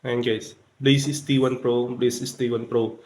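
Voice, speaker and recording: A man's voice: mid-range voice, level tone, measured pace, a little mellow. A man with a Filipino accent giving a casual step-by-step product tutorial, often addressing his viewers. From Philippines. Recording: very echoey room, faint background noise.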